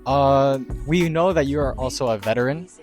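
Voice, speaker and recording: A young man, a student interviewer, asking a teacher questions politely and a little haltingly. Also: mid-range voice, darkish tone, faint music behind.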